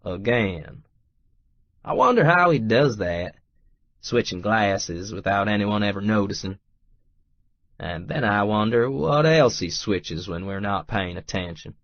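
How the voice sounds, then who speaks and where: Slow, low-voiced man narrating fiction. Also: expressive, no room sound, dull audio. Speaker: audiobook narrator. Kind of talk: first-person scripted fiction narration.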